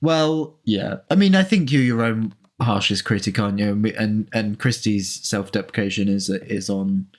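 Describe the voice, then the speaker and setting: A man's voice: lowish voice, moderate pace, few pauses. A man co-hosting an informal film commentary, chatty and playful with a wry way of talking. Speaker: co-host.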